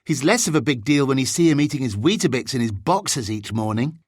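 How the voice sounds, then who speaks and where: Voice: mid-range voice, animated, fast talker, breathy edge, no room sound, studio quality, voice pushed up. A narrator voicing an easygoing, modest young English boy wizard in an audiobook, casual and a little bemused. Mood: friendly, modest.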